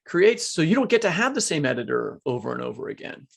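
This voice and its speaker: A man's voice: fairly high pitch, big pitch swings, fast, vocal fry, slightly rough. A man co-hosting a podcast, asking questions in a casual, conversational way. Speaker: podcast co-host, writer.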